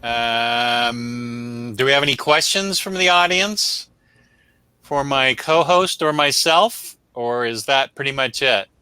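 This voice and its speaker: A man's voice: medium pitch, takes it slowly, bright, forceful tone, smooth, dry recording. A man hosting a comic book review show in casual livestream chat, rambling along in a self-deprecating way.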